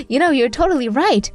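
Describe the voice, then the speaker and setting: A woman acts out a sweet young hostess in an anime-style dub. Her voice is high and very lively, polite and kind, with music playing faintly behind. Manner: polite, soft-hearted.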